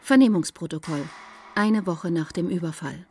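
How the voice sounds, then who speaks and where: An actress playing an impersonal announcer in a radio play, giving clipped, official headings. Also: female voice, fairly low pitch, moderate pace, a little mellow, soft background music, natural voice. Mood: neutral, official. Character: impersonal female announcer of police records.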